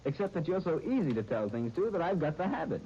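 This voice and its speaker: A man's voice: fairly high pitch, very animated, over loud music. An actor playing an eager, earnest young American man who trips over his words, in a 1930s radio drama. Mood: elated, confiding. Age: young adult. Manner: halting, stammering over words. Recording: muffled, noisy 1939 radio broadcast recording.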